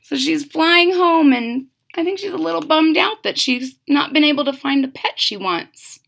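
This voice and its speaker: A woman's voice: sits high, expressive, normal speed, vocal fry, a bit bright. A woman reading a children's story aloud expressively as host, with chatty asides and questions to young listeners. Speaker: storybook reader and channel host.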